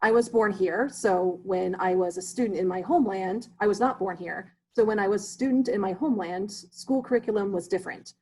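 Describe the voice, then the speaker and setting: A man's voice: high-pitched, a little fast, few pauses, darkish tone, a little hiss or hum, rough recording. A man reading the part of an erudite immigrant substitute teacher who speaks formally and drops his articles, in an amateur play reading. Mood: earnest.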